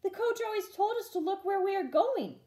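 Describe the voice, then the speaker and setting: Woman as a rueful young boy rabbit, soft-edged. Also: very high-pitched, big pitch swings, fairly quick, pitched above the performer's own voice. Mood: sorrowful joking. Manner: rueful, hushed at times. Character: soccer-mad young boy rabbit, sheepish and sorrowful.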